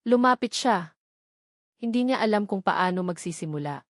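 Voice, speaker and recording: A woman narrator with a Filipino accent tells a scripted romantic drama. Her delivery is measured and theatrical, broken into short phrases with pauses. Her voice sits at a medium pitch, in a close, dry recording free of room sound. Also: expressive, no echo.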